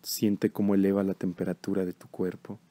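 A man leading a guided meditation in a calm, measured, instructional way. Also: low voice, no echo.

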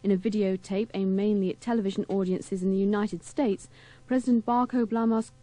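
Lady reading the news, mellow voice, very dry sound. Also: medium pitch, fairly even intonation, fairly quick, no echo. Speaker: newsreader. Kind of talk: scripted news bulletin.

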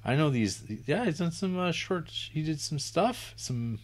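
A man's voice: fairly high pitch, lively intonation, measured pace. A man co-hosting a podcast, chatting casually with wry, dry jokes. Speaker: podcast co-host. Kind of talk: casual banter.

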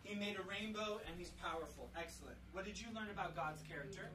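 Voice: mid-range voice, quick pace. A parent leading a family Bible study, teaching children with questions and repeating answers back in an instructive way. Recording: distant mic with light background noise.

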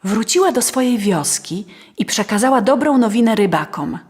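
A woman's voice: on the high side, lively intonation, moderate pace. A woman narrating a scripted fairy tale as a storyteller. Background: faint music.

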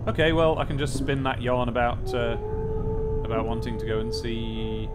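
A man's voice: mid-range voice, little pitch movement, moderate pace, over loud music. A British man giving playful, wry, sardonic video game commentary, chatting casually and reading text aloud dramatically. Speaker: let's play host.

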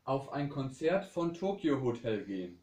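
A man's voice: mid-range voice, sing-song, normal speed, mellow. A man off camera reading out short question prompts in a room. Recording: echoey room, far from mic.

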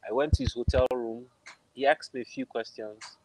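A man's voice: mid-range voice, steady tone, normal speed, poor audio. A man with a Nigerian accent, an interview guest talking casually on a video call, often searching for words and repeating phrases. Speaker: interview guest, film composer. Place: room at home, on a video call. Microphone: wired earphones on a video call.

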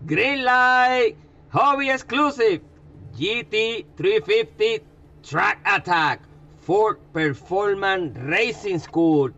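A man reading off toy car details in a slow, punchy, list-like sales delivery. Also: sits high, a bit bright, highs cut off. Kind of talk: live sales pitch.

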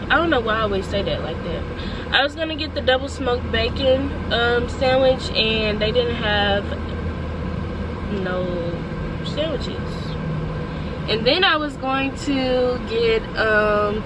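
A woman's voice: fairly high pitch, distant mic. A nineteen-year-old woman, stuffed up with a cold, chatting casually and full of slang as she vlogs from her car. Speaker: lifestyle vlogger. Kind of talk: casual vlog chat. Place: parked car. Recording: car camera with loud road noise.

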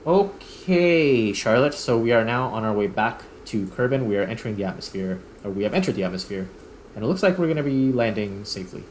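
Low-voiced man casually narrating his gameplay. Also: animated, fairly quick, a little hiss or hum. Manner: thinking aloud, self-correcting. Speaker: gaming video host.

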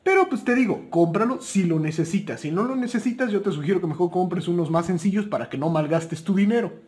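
A man's voice: sits high, quick pace, a little mellow. A man with a Mexican Spanish accent giving buying tips in a chatty, informal, unscripted way, correcting himself as he goes. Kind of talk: casual unscripted product talk.

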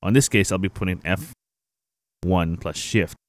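A young man hosting a casual software tutorial, explaining things informally to viewers on a close microphone. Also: deep voice, normal speed, very noisy. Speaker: tutorial host, gaming streamer. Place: indoor home streaming setup. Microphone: close boom-arm microphone.

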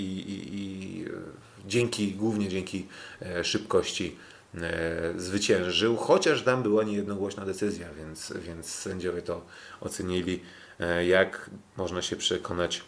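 A man recapping sports as a podcast host in a halting way, often repeating words. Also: sits low. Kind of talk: casual sports recap commentary.

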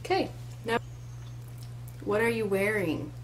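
A woman's voice: mid-range voice, a little slow, slight background noise. A woman in her twenties chatting casually to a webcam in a small room, with lots of "like" and "um" fillers. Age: 20s. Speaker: co-host. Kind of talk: casual chat. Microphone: webcam at desk distance.

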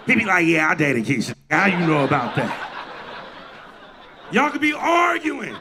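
An American man doing loud, punchy stand-up with acted-out dialogue. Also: sits high, very animated, moderate pace, noisy background. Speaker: stand-up comedian. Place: indoor comedy club stage. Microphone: handheld stage mic. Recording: live comedy club with loud audience.

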